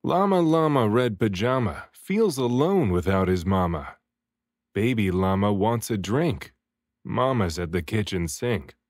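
A man reading a children's picture book aloud expressively, with deliberate, rhythmic phrasing of the rhymes. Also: lowish voice, expressive, darkish tone, dry recording, studio quality. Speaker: children's book reader, voice actor.

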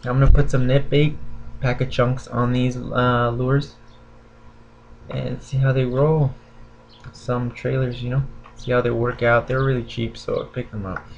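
A man's voice: medium pitch, little pitch movement, measured pace, gravelly, highs cut off, slight background noise. A young man chatting casually and informally to viewers as a laid-back vlogger showing new purchases. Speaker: fishing vlogger. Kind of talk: casual unboxing chat to viewers. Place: small bedroom, indoors at a desk.

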